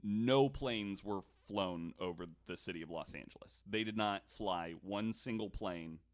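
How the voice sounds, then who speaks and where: A man hosting a podcast, telling a story to co-hosts in casual, comedic, animated chat with some swearing. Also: fairly low pitch, measured pace.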